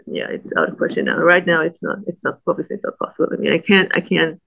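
A woman in her forties with a German accent, talking as an interview guest with halting, restarting speech and frequent laughs, her speech affected by illness. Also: low-pitched, very animated, creaky, slightly rough, dull audio. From Austria. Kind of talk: casual interview conversation.

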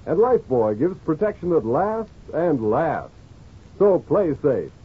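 Raspy old-time male radio pitchman. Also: big pitch swings, measured pace. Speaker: commercial announcer. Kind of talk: 1940s radio advertisement read. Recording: tinny old radio broadcast recording.

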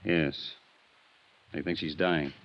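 Tough blunt cop, real low voice, 50s radio show, dull sound. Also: male voice, fairly even intonation, takes it slowly, over loud music, exaggerated voice. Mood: dry. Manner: gruff, blunt, dry. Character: tough, terse, wry veteran police detective. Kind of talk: 1950s radio drama acting. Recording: muffled 1950s radio recording.

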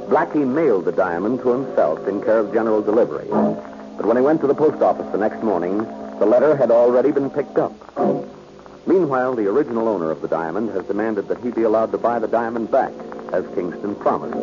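A man's voice: fairly low pitch, animated, fairly quick. A man announcing a story recap as scripted narration in 1940s radio style. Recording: muffled old radio with loud music. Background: music.

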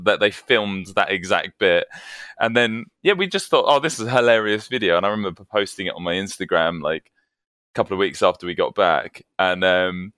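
A British man, a band vocalist guesting on a podcast, casually telling anecdotes and acting out the dialogue in an animated way. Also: deep voice, no echo, studio quality. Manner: animated, acts out quoted dialogue.

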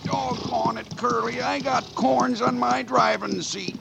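Dim, complaining frontier coach driver, rustic Western accent, very creaky and slow, 1940s radio drama. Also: male voice, steady tone, heavy background noise, exaggerated voice. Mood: grumbling. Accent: rustic American Western. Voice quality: creaky, high-pitched, cracking. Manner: slow, whining drawl. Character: dim, grumbling, soft-hearted stagecoach driver of the Old West. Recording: old radio recording with music behind.

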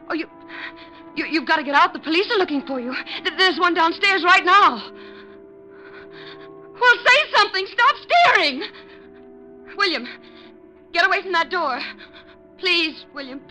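A coy, nervous young widow in a 1950s radio thriller. Her voice is high and bright, swinging widely with melodramatic, halting delivery. It is an old broadcast recording with music. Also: exaggerated voice. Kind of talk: 1950s radio drama acting.

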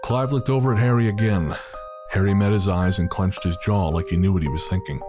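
A man with a very deep voice narrating an audio drama, measured and somber. Clear music plays behind him throughout. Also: steady tone.

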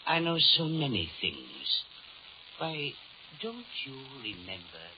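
An actor playing a sinister, all-knowing devil, formal and menacingly polite, in 1930s radio horror style. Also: male voice, lowish voice, wildly swooping pitch, slow, full character voice. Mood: silky menace. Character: sinister, suave, all-knowing male devil calling in his due. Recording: scratchy, muffled 1930s radio recording.

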